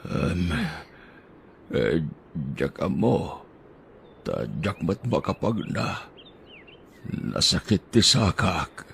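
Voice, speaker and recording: A man's voice: low voice, big pitch swings, very slow, gravelly, long pauses, a bit bright, slight background noise, pitched below the performer's own voice, full character voice. A man voicing a frail, sick old father in an audio drama, weak and groaning as he talks.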